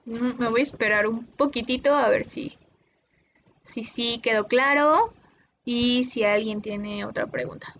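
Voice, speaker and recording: A Mexican woman tutoring linguistics online, explaining patiently and pausing often with "eh". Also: fairly high pitch, fairly bright tone, muffled recording, a little hiss or hum. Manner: patient, explanatory, with many "eh" pauses.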